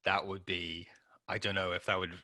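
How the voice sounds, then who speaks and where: A man's voice: low voice, flat delivery, normal speed, sharp tone, dry recording, clean studio sound. A man co-hosting a film review podcast, talking casually and bluntly with informal, sweary phrasing.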